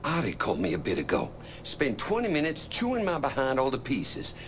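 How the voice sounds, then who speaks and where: A chatty, folksy man who rambles on. His voice leaps up and down as he talks. A faint rumble of noise sits behind him. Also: wildly swooping pitch, fairly quick. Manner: rambling, jumpy pitch, folksy. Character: excitable older male truck owner, chatty and rambling.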